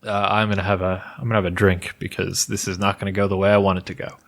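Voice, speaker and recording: A man's voice: low voice, little pitch movement, fast talker, fairly bright tone. A man co-hosting a podcast, presenting folklore research in a loose, jokey way with restarts. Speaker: podcast co-host. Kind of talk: casual podcast chat, presenting researched lore.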